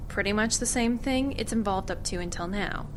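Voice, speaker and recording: A woman's voice: medium pitch, moderate pace, natural voice. Cool, sardonic female government agent acted by a woman. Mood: matter-of-fact, persuasive. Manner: controlled, sardonic, evasive. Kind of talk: audio drama acting. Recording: clear ambient noise behind dialogue.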